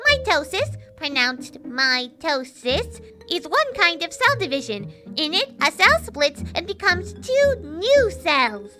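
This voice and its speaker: A woman's voice: very high voice, sing-song, takes it slowly, few pauses, full character voice. A woman voicing a perky, sassy cartoon girl who sings and lectures playfully over music. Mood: lecturing. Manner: chirpy, sing-song, lecturing playfully. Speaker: voice actor dubbing a cartoon song. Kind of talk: fan dub of cartoon song and skit.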